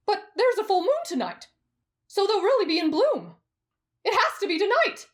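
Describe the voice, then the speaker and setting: A woman voicing an excitable, lovestruck and insecure teenage swordsman boy in an anime roleplay, with an eager, stammering manner. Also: very high voice, normal speed, pitched above the performer's own voice. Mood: pleading. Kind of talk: anime roleplay ASMR acting.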